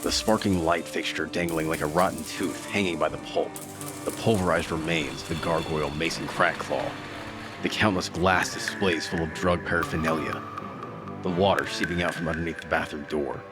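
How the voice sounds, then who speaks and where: An actor playing a weary, desperate occult detective in a dark noir audio drama, with a wry, dramatic delivery. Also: male voice, rumbling low, fairly even intonation, moderate pace. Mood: tense, grim narration. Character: weary, desperate male occult detective narrating his fight. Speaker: lead actor and narrator. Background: music.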